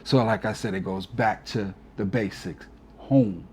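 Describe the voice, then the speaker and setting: A man's voice: on the low side, measured pace, darkish tone, a little hiss or hum. A man in his forties, a DJ guest on an interview, talking earnestly and from the heart, often adding "you know". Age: 40s. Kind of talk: casual reflective interview talk.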